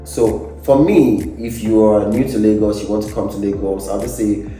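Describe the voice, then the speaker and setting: Nigerian man, young adult, low and mellow, relaxed and thoughtful; echoey room, distant mic, faint music. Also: moderate pace. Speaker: guest. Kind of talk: casual interview chat.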